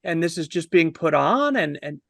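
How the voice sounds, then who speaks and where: A man in his fifties telling a story in a casual, animated review on a video call, wordy and posing himself questions. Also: on the high side, normal speed, creaky, no echo, studio quality. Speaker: film review panelist.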